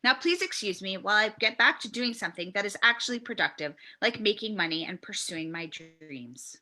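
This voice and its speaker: A woman's voice: medium pitch, expressive, a little fast, sharp tone. A woman who works as an actress, guest reading and chatting in a casual comedic style.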